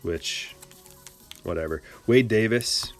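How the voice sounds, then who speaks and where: A man's voice: on the low side, takes it slowly, vocal fry, faint music behind. A man from Michigan hosting a casual card-break livestream, joking and rambling as he chats with viewers. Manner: playful, joking, rambling banter with chat. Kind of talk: casual livestream chat while opening cards.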